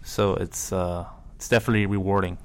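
A man's voice: sits low, little pitch movement, fairly quick. A man from Colombia in a band, chatting casually in an easygoing way with lots of fillers. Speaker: band member. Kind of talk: casual interview chat.

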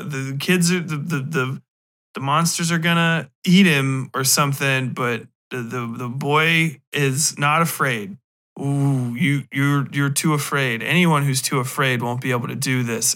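A man's voice: fairly high pitch, bright, forceful tone. A man hosting a podcast, casually ranting about a film in a blunt, mocking, profane way and acting out bits of dialogue. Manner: acts out imagined dialogue while retelling scenes. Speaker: podcast host. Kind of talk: casual, rambling comedic film rant.

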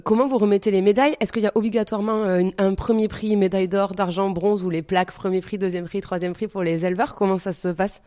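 A woman's voice: mid-range voice, fairly even intonation, fast, hardly any pauses, mellow, dry recording, studio quality. A woman hosting a podcast, asking guests questions in an interview. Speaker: podcast host.

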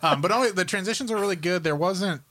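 A man's voice: high-pitched, quick pace, pushed and bright. A man co-hosting a podcast, chatting casually and informally in a rambling way about films and games. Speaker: podcast co-host.

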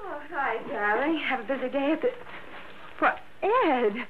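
An actress playing a sociable but rattled housewife hostess in a 1960s radio drama, with a halting delivery. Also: female voice, sits high, lively intonation. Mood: flustered, welcoming. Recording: tinny, noisy vintage radio.

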